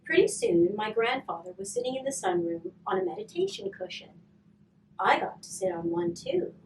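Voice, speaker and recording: A woman reading a children's storybook aloud with expressive, emphatic narration and dramatic pauses. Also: very high voice, gravelly. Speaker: volunteer storybook reader. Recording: roomy, distant mic, faint noise.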